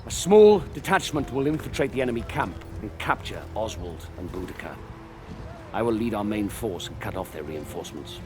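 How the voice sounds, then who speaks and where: A man playing a seasoned Roman army commander in a video game, stern, principled and measured in his authority. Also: lowish voice, sing-song, background noise. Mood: commanding. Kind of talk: cinematic video game acting.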